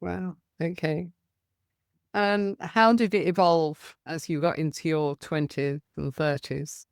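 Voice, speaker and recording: A woman hosts a podcast interview. Her voice sits somewhat low, and she asks brief questions and gives short encouraging responses. The sound is dry. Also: fairly even intonation, slow pace, clear, resonant tone, no echo. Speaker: podcast host.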